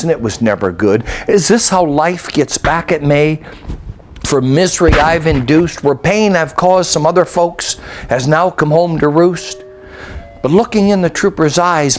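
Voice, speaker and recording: An older American man recites a rhyming narrative poem in a measured, reflective storytelling manner. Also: fairly high pitch, slight background noise. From United States. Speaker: storyteller and poet.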